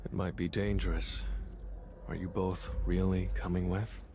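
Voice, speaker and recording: A man's voice: low voice, level tone, a little slow, noisy background. An actor playing a cool, decisive young male hunter in a video game, speaking in a terse, composed way. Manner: terse, composed, matter-of-fact. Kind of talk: scripted dramatic game acting. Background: music.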